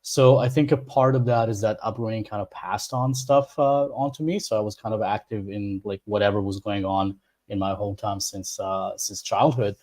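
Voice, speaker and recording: A man from Bosnia and Herzegovina, an English speaker, talking as an interview guest in a relaxed way, often restarting his sentences. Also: on the low side. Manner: relaxed, laughs easily, halting restarts. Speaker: guest expert. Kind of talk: casual interview.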